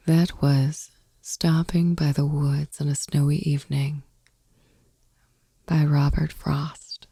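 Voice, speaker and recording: Woman whispering poems asmr style for sleep, breathy and gravelly, super dry close sound, soft n slow. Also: sits low, little pitch movement, no room sound. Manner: soft, slow, soothing, measured. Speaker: podcast host reading poetry. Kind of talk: ASMR poetry reading for sleep. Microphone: close microphone.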